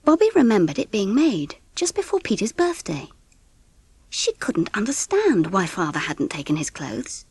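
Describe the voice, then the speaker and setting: A gentle, weary English mother, husky and expressive in a dry recording. She narrates and talks with tender, measured care, in dramatised audiobook style. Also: mid-range voice, no room sound, heavily acted. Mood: reflective. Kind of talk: scripted first-person narration and audiobook drama.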